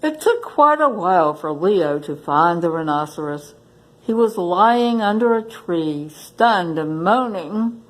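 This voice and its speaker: Slow, animated woman reading to children. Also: fairly low pitch, poor audio. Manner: expressive, addressing young listeners. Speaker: library staff story reader. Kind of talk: children's storybook read-aloud.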